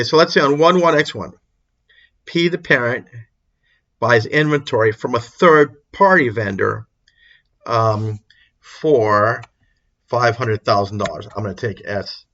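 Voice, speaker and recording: A man's voice: medium pitch, a bit bright. Man lecturing informally, dull lo-fi recording. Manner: informal, repeats and rephrases points for emphasis. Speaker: accounting instructor. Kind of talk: explanatory lecture over a worked example.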